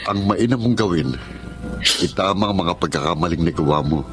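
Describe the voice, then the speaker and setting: An actor playing a concerned, plain-speaking older brother who gives advice in a radio drama. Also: male voice, sits low, a little fast, music in the background, close to an everyday voice. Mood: concerned, firm. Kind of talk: Tagalog radio drama acting.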